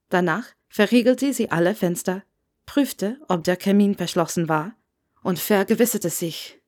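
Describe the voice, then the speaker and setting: A woman's voice: medium pitch, darkish tone. A woman reading a scripted story aloud as an audiobook narrator.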